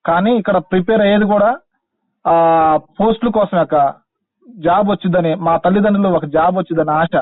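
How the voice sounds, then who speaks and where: A young man pleads over a narrow, dry phone line in a high voice. He speaks Telangana Telugu, respectful yet insistent, as a caller making an appeal. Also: steady tone, fairly quick, pauses often, dry recording. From Khammam district, Telangana, India. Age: young adult. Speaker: caller, teacher-exam candidate.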